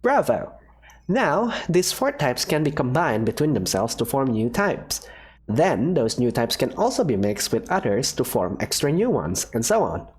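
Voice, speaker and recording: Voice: medium pitch, sing-song, moderate pace, husky. A voice actor playing a cheerful, secretive woman professor who runs a daring experiment, talking in an upbeat, teasing way. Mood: explaining. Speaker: character voice in a fan visual novel. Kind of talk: visual novel voice acting.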